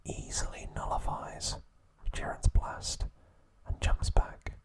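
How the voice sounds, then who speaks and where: A man hosting an ASMR video, giving a whispered recap with playful asides. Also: slow. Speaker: ASMR channel host.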